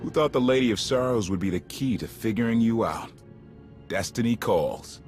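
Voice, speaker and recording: A man's voice: medium pitch, expressive, moderate pace, a little mellow, close to an everyday voice. A man voicing a skeptical, hot-headed rebel in a video game, talking bluntly and with sarcasm. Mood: sardonic. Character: skeptical, hot-headed male rebel loyal to a fallen emperor. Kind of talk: video game cutscene acting. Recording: faint music behind the voice.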